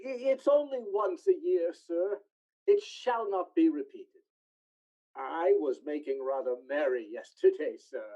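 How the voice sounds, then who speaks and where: Woman acting as timid clerk, low dark voice, apologetic, hesitant, radio drama, dull audio. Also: measured pace. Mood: meek, nervous. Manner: deferential, hesitant. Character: meek, apologetic male clerk.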